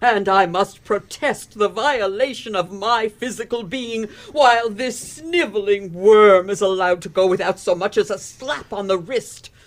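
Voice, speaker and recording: A woman's voice: mid-range voice, unhurried, hardly any pauses, close to an everyday voice. A woman playing a haughty, indignant lady elocutionist in an old-fashioned radio play, with pompous, precise diction. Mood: bitter disdain.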